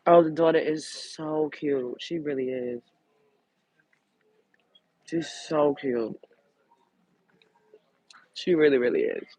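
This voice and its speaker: A woman hosting a casual livestream chat, reading out comments in a candid, blunt way, with a deep, sultry voice. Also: fairly even intonation, takes it slowly, pauses often, dark tone, rough recording. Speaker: host.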